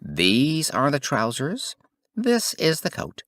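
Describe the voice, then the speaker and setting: A sly storybook swindler, acted by a male narrator, poses as an obsequious weaver. His showy pitch rises and falls widely, recorded dry. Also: huge pitch swings, slow, fairly bright tone, no room sound, exaggerated voice. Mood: smooth, flattering. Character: sly swindler posing as fawning royal weaver.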